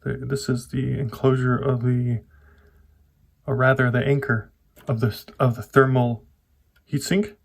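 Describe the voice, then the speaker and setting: A man with a mid-range voice gives an informal hands-on tech commentary. He speaks in a halting way, feeling for the right word and correcting himself as he explains things to his viewers. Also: mid-range voice, little pitch movement, vocal fry. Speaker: tech vlogger. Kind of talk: casual hands-on PC build commentary.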